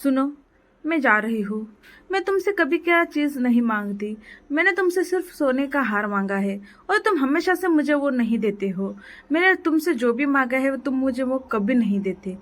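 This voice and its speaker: A quarrelsome crow wife scolds her mate in a high, shrill voice, as a woman puts her on. She nags without letup, sharp and aggrieved, like a figure from a children's storybook. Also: animated, normal speed. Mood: scolding.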